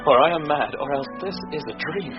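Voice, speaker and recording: A man playing a bewildered, hot-tempered young gentleman in a classical radio play, speaking in a theatrical, declamatory way. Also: a little high, a little fast, close to an everyday voice. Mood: dreamy wonder. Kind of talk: classical radio drama acting. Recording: muffled radio recording with music.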